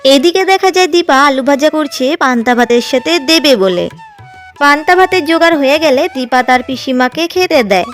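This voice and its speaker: A woman narrating a cartoon village story in a steady, plain way. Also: sits high, normal speed, soft background music.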